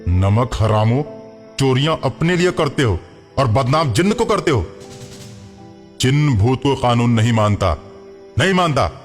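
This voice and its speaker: A man's voice: medium pitch, sing-song, unhurried, long pauses, long reverb tail. A man voicing a bullying police inspector in a cartoon story, scolding and threatening as he interrogates. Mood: scornful. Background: background music.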